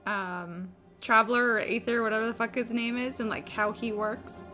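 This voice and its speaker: A woman's voice: fairly high pitch, steady tone, normal speed, pushed and bright. A woman giving casual, informal live commentary on a game she is playing, with easy swearing. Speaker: game streamer. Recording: game music behind voice. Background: video game music and dialogue.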